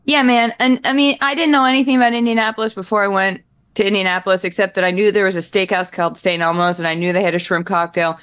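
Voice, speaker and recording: A woman co-hosting a sports talk show, candid and quick to react in casual banter. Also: mid-range voice, level tone, a bit bright, smooth. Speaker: co-host.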